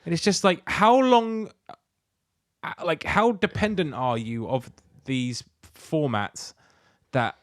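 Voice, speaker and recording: A man's voice: fairly high pitch, slow, no room sound. Ranting British man, very dry close sound. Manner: sweary, ranting, exasperated. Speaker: podcast co-host. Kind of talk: casual comedic chat.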